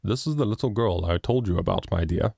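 A man's voice: sits low, brisk, gravelly, a little mellow, no echo, studio quality. A man doing a cheery teasing father.

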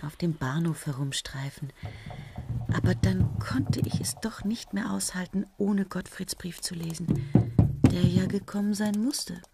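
An actress playing a tired, self-mocking woman scientist in a radio play, talking quietly and often near a whisper. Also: low voice, vocal fry. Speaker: lead character and narrator. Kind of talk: 1970s German radio play acting. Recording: old radio play with loud hiss.

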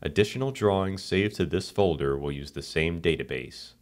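A man giving calm, scripted narration for a software tutorial screencast. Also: deep voice, moderate pace.

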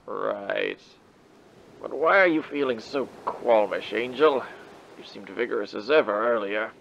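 A man's voice: lowish voice, sing-song, measured pace, vocal fry, fairly bright tone, a little hiss or hum, full character voice. An actor playing a proud, theatrical male demon with formal, elaborate diction and an old radio filter in a comic dub. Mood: dry, queasy. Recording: muffled band-limited sound, music behind. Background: music.

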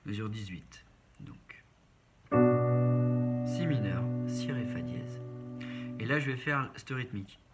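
A man's voice: on the low side, expressive, takes it slowly, pauses often. A man giving a step-by-step piano tutorial in short instructional phrases, naming notes one by one. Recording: piano playing over the voice. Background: keyboard piano being played.

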